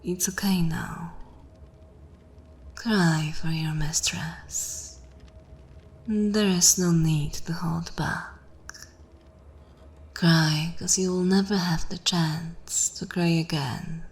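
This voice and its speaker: A woman's voice: on the low side, steady tone, a little mellow. A woman voicing a seductive, imperious vampire mistress in an ASMR fantasy roleplay, commanding and coldly mocking, sometimes dropping into a whisper. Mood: mock-tender, ominous. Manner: slow, commanding, theatrical, breathy whispering at times.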